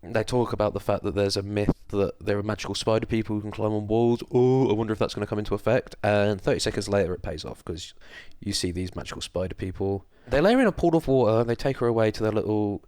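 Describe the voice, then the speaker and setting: Guy cohosting a movie podcast, lowish smooth voice, wry and sweary, just chatting. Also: fast, hardly any pauses, a little mellow, dry recording. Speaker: film podcast co-host. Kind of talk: casual chat, recapping a film plot.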